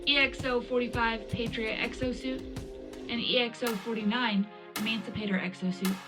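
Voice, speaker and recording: A woman playing a wartime radio news presenter, reading a scripted military bulletin in a measured, formal announcer delivery. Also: a little high, fairly even intonation, lightly acted. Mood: composed, official. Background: loud music bed.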